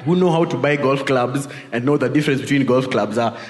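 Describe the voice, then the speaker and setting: A man's voice: medium pitch, fairly quick, a faint crowd. A man with a Kenyan accent preaching a conversational sermon, telling personal stories in an informal way. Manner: informal, peppered with tag questions and Swahili asides. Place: large reverberant indoor hall. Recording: echoey hall with long reverb.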